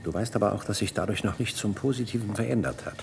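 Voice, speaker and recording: An actor playing a greedy, domineering husband in a German radio play, urgent and insistent. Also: male voice, quick pace, gravelly. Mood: pressing. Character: Richard, tense, greedy, domineering husband planning a burglary. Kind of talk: 1960s-80s German radio play acting. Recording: noisy recording with car engine rumble.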